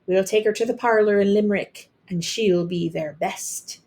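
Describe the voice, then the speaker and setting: A woman voicing a loving, proud, careworn mother in a storybook, earnest and gently scolding. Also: medium pitch, normal speed, natural voice. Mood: tender pride.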